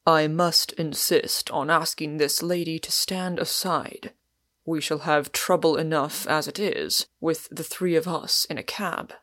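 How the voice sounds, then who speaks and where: A woman's voice: lowish voice, big pitch swings, measured pace, dry recording, clean studio sound, exaggerated voice. A woman voicing a stern middle-aged male doctor, brusque, curt and commanding, in an audiobook. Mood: firm, impatient. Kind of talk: audiobook character voice.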